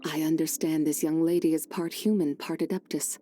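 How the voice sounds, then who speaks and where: Dark, mellow-voiced serene ancient immortal played by a woman. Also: deep voice, steady tone, faint music behind, lightly acted. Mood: calm, thoughtful. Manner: formal, measured, genteel old-fashioned phrasing. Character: serene, courteous ancient female adeptus, wise and wistful. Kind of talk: scripted game character voice lines.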